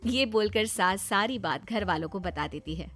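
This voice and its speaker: A woman narrating a scripted moral story as an expressive storyteller. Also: mid-range voice, normal speed, soft background music.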